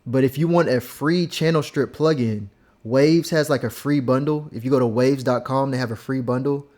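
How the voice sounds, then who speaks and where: A man's voice: medium pitch, moderate pace, darkish tone. A man walking through a casual music production tutorial in a laid-back, rambling way, with fillers and the odd swear word, recorded in a home studio. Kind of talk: casual screen-share tutorial.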